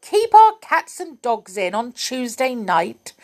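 A cross old witch, put on by a woman storyteller, snaps and scolds at full volume, her voice climbing high with scorn. Her lines swing up and down with a lively, animated lilt, and she drags out her complaints. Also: unhurried. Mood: scornful. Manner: loud, scolding, indignant.

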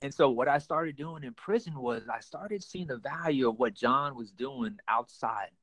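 Guest: young man from Texas, earnest, halting, mid pitch, dry close sound. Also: expressive, fairly bright tone, dry recording. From United States, raised in Houston, Texas. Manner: earnest, halting with many fillers and restarts. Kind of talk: personal testimony in an interview.

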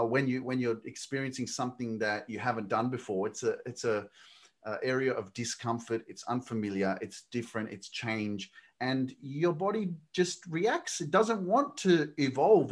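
A man's voice: mid-range voice, moderate pace. A male podcast host reflecting on comfort zones in a casual, motivational way, with fillers. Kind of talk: casual interview chat.

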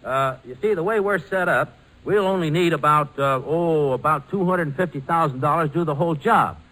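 A man's voice: a little high, sharp tone, low-quality recording. An actor playing an eager young ex-military cargo pilot in a 1940s radio drama, earnest and insistent as he makes his case. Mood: earnest, persuasive. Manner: earnest, insistent, fast-talking salesmanship. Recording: old 1940s radio broadcast recording.

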